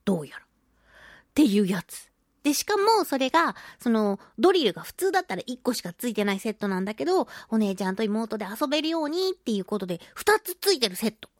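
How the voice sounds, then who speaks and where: Female radio host, high and very animated voice, playful giggly chat, dry studio-close sound. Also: fast, no room sound. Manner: giggly, chatty, playfully self-narrating in mock-polite phrases. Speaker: radio show host and voice actress. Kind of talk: casual chatty solo radio talk.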